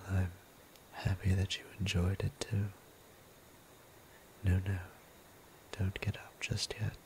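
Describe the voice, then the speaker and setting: A man playing a gentle, seductive vampire priest in an ASMR roleplay, whispering breathily with soothing, intimate, formal endearments. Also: fairly low pitch, vocal fry, poor audio. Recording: noticeable ambient background noise.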